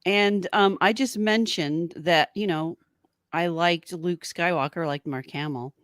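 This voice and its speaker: Woman podcaster, low dry voice. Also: unhurried, dry recording. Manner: giggly, rambling, informal. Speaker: podcast host. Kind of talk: casual live-stream chat.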